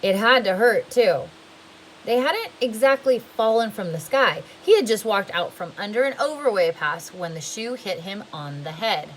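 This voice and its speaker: A woman's voice: medium pitch, fairly bright tone, slight background noise, low-quality recording. A woman reading a novel aloud in a plain way, sometimes stumbling and restarting a word. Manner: plain, occasionally restarting words. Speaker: reader.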